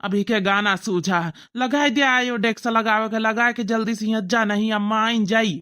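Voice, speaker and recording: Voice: medium pitch, steady tone, normal speed, pushed and bright, clean tone, natural voice. A performer voicing a put-upon, resigned village husband with an Awadhi accent, plaintive and fussing, in a family comedy cartoon. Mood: anxious.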